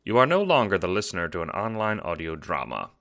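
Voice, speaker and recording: A man's voice: deep voice, huge pitch swings, fast talker, bright, forceful tone. A man reading scripted second-person narration for an audio drama, as its narrator.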